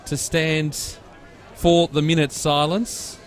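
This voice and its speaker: A man calling a football broadcast in a relaxed, conversational way with occasional fillers. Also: on the high side, slow, a faint crowd. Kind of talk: live sports commentary.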